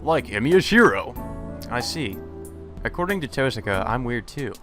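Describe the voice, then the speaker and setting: A man with a medium-pitched voice reads visual novel dialogue aloud as a let's player. He sometimes stumbles and goes back over a line. Game music plays clearly behind him. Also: very animated, normal speed. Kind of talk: reading visual novel translation aloud with asides.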